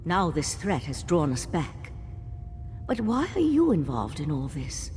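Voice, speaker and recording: A woman voicing an earnest female elf mage of an ancient monastic order, explaining calmly. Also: low voice, measured pace, long reverb tail, a little hiss or hum.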